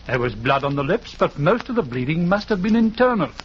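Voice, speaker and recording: An actor playing a pompous, self-assured country doctor, formal and dismissive, in 1940s radio drama style. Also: male voice, fairly high pitch, big pitch swings, a little fast. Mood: huffy, certain. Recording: muffled, noisy 1940s radio recording.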